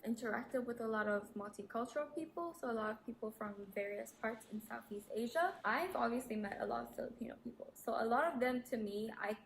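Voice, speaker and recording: A woman from Singapore explaining clearly in a recorded video answer. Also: a little high, fast, few pauses, roomy sound, slight background noise, low-quality recording.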